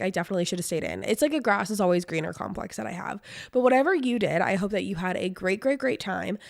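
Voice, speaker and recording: A young woman hosting her own podcast in casual solo chat, her voice hoarse from a cold, talking with lots of "like" and "um". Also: mid-range voice, dry recording, clean studio sound. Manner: chatty, lots of "like" and "um". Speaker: podcast host.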